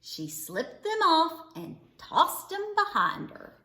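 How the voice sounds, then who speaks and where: Animated woman storyteller, slow and bright. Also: very high-pitched. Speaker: children's read-aloud storyteller. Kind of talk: expressive storybook narration. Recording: echoey, roomy sound.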